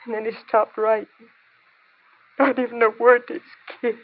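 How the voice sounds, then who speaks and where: A woman's voice: a little high, flat delivery, moderate pace, natural voice. An American woman acting a poor, abandoned mother in an old radio drama, weary and bitter, with an emotional, melodramatic delivery. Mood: despairing. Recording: tinny, narrow-band old radio recording.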